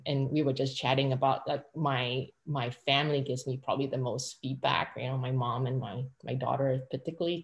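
A woman's voice: extremely low pitch, darkish tone. A middle-aged woman from Malaysia, a tech executive, answering interview questions in a chatty way on a video call from home. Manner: chatty, frequent tag questions. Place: home room with large windows.

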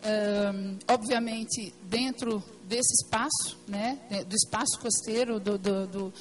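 A woman with a hoarse, partly lost voice, giving a hesitant technical presentation at a council meeting, full of fillers and restarts. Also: medium pitch, fairly even intonation, takes it slowly, poor audio. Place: meeting room at a plenary session.

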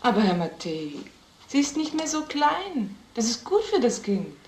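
A woman's voice: on the high side, very animated, measured pace, darkish tone. An actress playing an anxious, strict mother and housekeeper, earnest and plain-spoken. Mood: defensive. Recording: echoey film sound, faint background noise.

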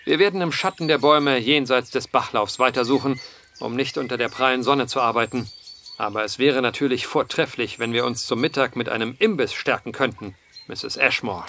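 An actor playing an earnest, determined gentleman writer in a German audio drama, speaking with precise, old-fashioned eloquence. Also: medium pitch, a little fast, a little hiss or hum. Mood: courteous.

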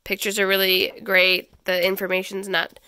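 A woman's voice: mid-range voice, monotone, normal speed, sharp tone, clean tone, dry recording, clean studio sound. A woman in her thirties, stuffy from a head cold, hosting a homeschool channel and casually walking through a stack of children's books, with plenty of ums and restarts. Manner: chatty, many ums and restarts. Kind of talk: casual book review walkthrough. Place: small home schoolroom, indoors.

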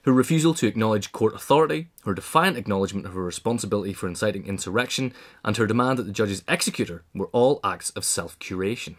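A man's voice: lowish voice, animated, quick pace. A man reading scripted narration in a formal, articulate manner, as the narrator of a pamphlet.

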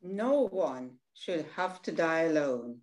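Inquisitive, indignant little sister, Caribbean older woman reader; lively intonation, stage-play reading. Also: low voice, takes it slowly. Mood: troubled, questioning. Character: inquisitive, indignant, tender-hearted younger sister in Barbados. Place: indoor room at home, on video call. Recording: roomy video call sound.

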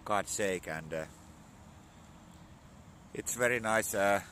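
Finnish guy with a cold, low husky voice, slow and full of uhs, phone vlog outside w faint traffic. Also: sharp tone. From Finland. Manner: slow, halting learner English with many fillers. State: slightly hoarse from a cold. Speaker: vlogger. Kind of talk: casual outdoor vlog talk. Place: outdoors on a sunny spring morning. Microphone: phone held at arm's length.

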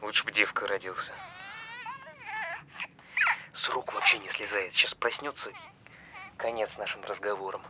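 A man's voice: fairly low pitch, steady tone, moderate pace, long pauses, heavy background noise, natural voice. An actor playing a hard-up small-time scammer and young father, awed and sheepish, using hesitant street slang in an audio film. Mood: weary, confiding.

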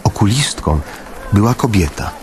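An actor playing a grave father who narrates in the first person in a radio play, measured and full of pauses. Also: on the low side, expressive, a little hiss or hum, close to an everyday voice. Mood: somber. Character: somber father narrating his family's wartime flight.

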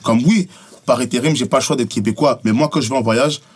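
A rapid-fire man with a Montreal French accent and a husky edge to his voice. He talks bluntly and with emphasis, peppering his points with street slang as a rapper guest in a studio podcast. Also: medium pitch. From Montreal, Quebec. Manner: blunt, emphatic, says bro and tu comprends. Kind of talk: casual podcast conversation.

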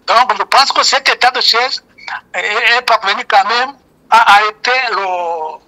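An older man with a Rwandan accent comments on politics over a phone call. His voice is bright and forceful. He speaks emphatically and repeats his key points, as a native Kinyarwanda speaker. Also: high-pitched, unhurried. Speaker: interview guest, commentator. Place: remote call, shown as a photo.

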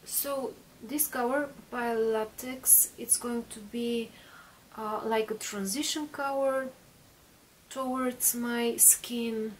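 A woman's voice: a little high, fairly even intonation, slow, far from the mic, slight background noise, rough recording. A woman in her thirties, a native Bulgarian speaker, chatting through a makeup tutorial in a small bedroom with lots of fillers and restarts. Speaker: beauty vlogger.

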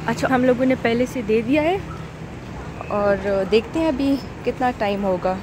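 A woman with a Pakistani Urdu accent talks casually to her viewers, as if showing them around on a night out. She records on a handheld phone out on a city street. Loud traffic noise runs under her voice the whole time. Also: on the high side, moderate pace. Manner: chatty, addresses viewers directly. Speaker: vlogger. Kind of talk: casual vlog narration.

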